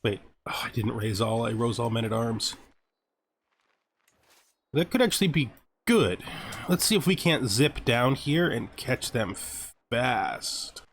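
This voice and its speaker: A man's voice: medium pitch, very animated, takes it slowly, pauses often, a little hiss or hum. A man hosting a gameplay series, speaking as a narrator and commentator. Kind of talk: gaming commentary with scripted lore narration.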